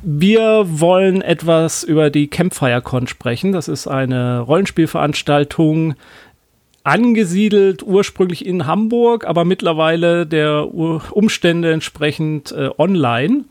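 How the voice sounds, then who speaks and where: A man's voice: a little high, measured pace, darkish tone, no room sound. Chatty man hosting a podcast, dry sound. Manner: chatty, hesitant with many fillers. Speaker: podcast host. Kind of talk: casual podcast conversation.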